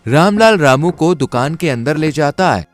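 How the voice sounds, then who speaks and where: A man's voice: a little high, expressive, normal speed, soft background music. A man narrating a scripted cartoon story.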